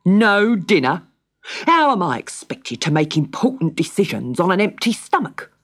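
A woman's voice: on the low side, big pitch swings, moderate pace, voice pushed up. A woman voicing Cedric, a sour, grumpy husband who growls and complains. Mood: grumbling.

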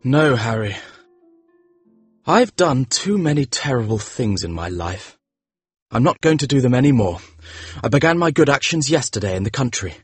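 A man voicing a troubled young gentleman, soft and earnest, reading an audiobook. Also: medium pitch, sing-song, slightly rough, no echo, soft background music, voice pushed up. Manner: soft, hushed, quick bursts. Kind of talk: audiobook character voice.

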